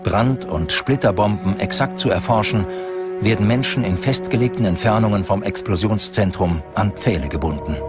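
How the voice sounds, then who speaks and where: A man's voice: fairly low pitch, normal speed, loud music behind the voice. A man from reads a scripted documentary narration in a sober, factual way.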